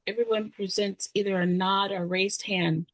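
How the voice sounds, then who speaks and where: A woman's voice: mid-range voice, fairly even intonation, moderate pace, no echo, low-quality recording. A woman reading stage directions as scripted narration.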